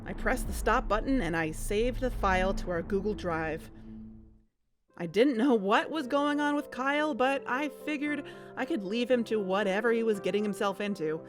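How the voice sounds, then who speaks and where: A woman's voice: fairly high pitch, normal speed, music in the background, lightly acted. An actress playing an uneasy, watchful woman investigator who tells her story in a scripted audio drama. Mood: tense recounting. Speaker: narrator and lead character of an audio drama. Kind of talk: scripted first-person narration and dialogue.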